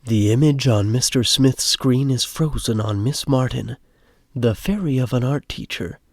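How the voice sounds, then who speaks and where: A man narrating a scripted comedic audio drama in a measured, wry way. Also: medium pitch, no echo, studio quality.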